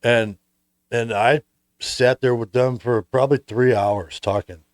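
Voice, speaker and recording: An American man hosting a relaxed, casual conversation about his hobby. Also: mid-range voice, unhurried, no room sound, studio quality. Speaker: host.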